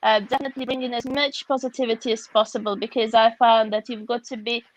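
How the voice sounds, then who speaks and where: A woman's voice: on the high side, little pitch movement, fast talker, dull audio. A woman from Russia with a Russian accent, a guest author speaking candidly and reflectively, often laughing, over a video call.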